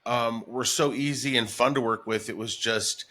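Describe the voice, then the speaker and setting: A man's voice: medium pitch, fairly even intonation, moderate pace, pushed and bright. A middle-aged man talking casually and enthusiastically as a guest in an interview, reminiscing in a chatty way. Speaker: radio morning show comedian, guest.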